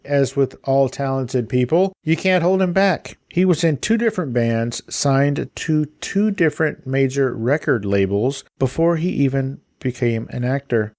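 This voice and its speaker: A man's voice: fairly high pitch, a little mellow, dry recording. Podcast host guy, super hyped, gushy, dry close mic. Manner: enthusiastic, gushing, quick asides. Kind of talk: casual podcast interview.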